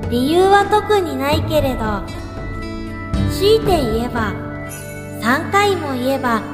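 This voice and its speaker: A woman's voice: sits high, sing-song, slow, reverberant, long reverb tail, over loud music, full character voice. A woman playing an earnest, polite, small young male college student in an audio drama. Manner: polite, formal, soft-spoken earnest delivery. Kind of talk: audio drama monologue and dialogue.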